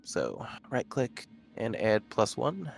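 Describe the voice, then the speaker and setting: A man's voice: lowish voice, wildly swooping pitch, takes it slowly, vocal fry. A man chatting casually as a player in a tabletop game. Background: background music.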